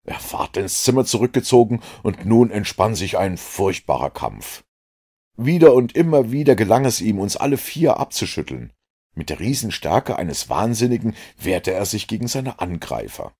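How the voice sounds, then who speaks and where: A man reading a detective novel aloud as audiobook narration, in deep, even storytelling with a dramatic lift. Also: normal speed, husky. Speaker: audiobook reader.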